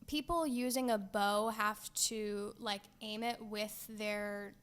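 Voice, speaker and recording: A woman's voice: mid-range voice, fairly even intonation, slow pace, fairly bright tone, smooth. A woman co-hosting a gaming show, chatting casually and playfully with wry little asides.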